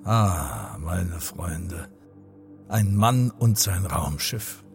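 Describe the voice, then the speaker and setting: Commander, male: lowish voice, grandiose and macho, radio drama over prominent music. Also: lively intonation, extremely slow, heavily acted. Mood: grandiose, reflective. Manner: grandiose, declamatory. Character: pompous male spaceship commander, macho, brooding. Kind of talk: German radio play acting.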